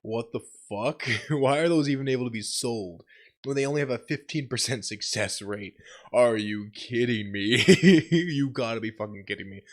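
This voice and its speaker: A young man sick with a cold, his voice deeper than usual, telling a rambling vlog story with lots of swearing and laughter. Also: moderate pace. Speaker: channel host. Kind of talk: casual vlog update.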